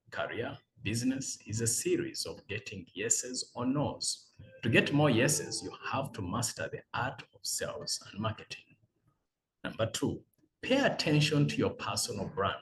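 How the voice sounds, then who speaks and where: A man, a business coach giving earnest, measured motivational advice as numbered tips. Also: mid-range voice, a little hiss or hum, poor audio. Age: 40s. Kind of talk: motivational talk read as a letter.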